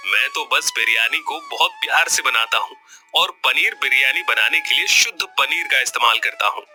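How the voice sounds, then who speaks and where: A man playing a greedy, smooth-talking village biryani seller in a cartoon story, with emphatic, expressive delivery. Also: medium pitch. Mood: humble, friendly. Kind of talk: Hindi cartoon story voice acting.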